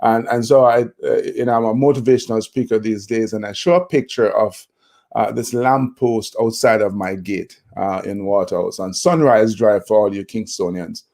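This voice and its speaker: A Jamaican man in his fifties, a motivational speaker, telling his life story in a relaxed interview with many fillers and restarts. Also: fairly low pitch, moderate pace, vocal fry. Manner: reflective, with frequent fillers and restarts.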